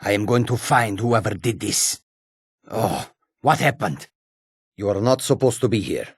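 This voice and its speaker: An actor playing a sly, menacing, proud humanoid cat warrior in a fantasy video game, with a husky, raspy voice and deliberate delivery. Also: male voice, fairly low pitch, expressive, dry recording, clean studio sound, full character voice. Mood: wary, stern. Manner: slow, deliberate, often speaks of himself in third person.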